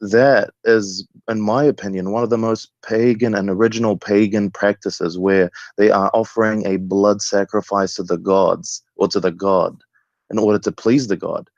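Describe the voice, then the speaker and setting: A man's voice: lowish voice, moderate pace, gravelly, dry recording. A man in his thirties, originally from Egypt, calling in and talking earnestly with frequent ums. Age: 30s. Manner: steady, earnest, many ums. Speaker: caller. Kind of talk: phone call to a show. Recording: dull, dry phone-line sound.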